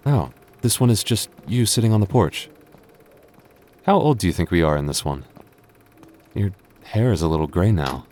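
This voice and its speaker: A man playing a devoted, earnest young boyfriend in an intimate audio roleplay, speaking haltingly with stammers. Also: sits low, animated, a little mellow. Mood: affectionate, wistful. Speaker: voice actor.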